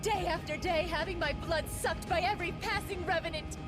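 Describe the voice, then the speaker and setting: A woman voicing a frightened, defiant, distrustful girl in a video game, shrill and desperate. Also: fairly even intonation, brisk. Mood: desperate, furious. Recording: game cutscene with music behind.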